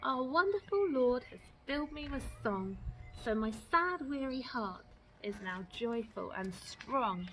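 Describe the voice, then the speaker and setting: A woman's voice: fairly high pitch, lively intonation, slow, a bit bright, a little hiss or hum, rough recording. A woman voicing a shy, earnest young male robin in a children's storybook. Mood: joyful.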